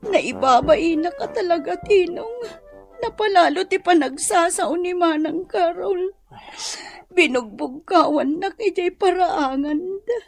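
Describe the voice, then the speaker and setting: A woman's voice: very high-pitched, measured pace, vocal fry, soft background music, full character voice. A woman with an Ilocano accent playing a meek, wronged village wife in a radio drama, plaintive and pleading. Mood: tearful, aggrieved.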